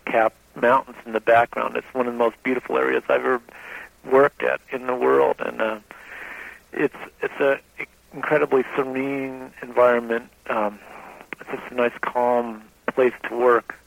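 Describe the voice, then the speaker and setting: A man from Colorado speaks in a gravelly voice, calm and reflective. The recording is dry and dull, with the top end muted. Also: mid-range voice, no echo. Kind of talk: interview answers.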